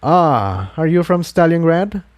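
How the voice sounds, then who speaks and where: A man voicing an elderly unicorn high priest in a storybook, formal, courteous but guarded, with a strong, clear voice. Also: big pitch swings, unhurried, darkish tone, no echo. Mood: welcoming, smooth. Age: older. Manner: formal, authoritative. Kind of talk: storybook character voice.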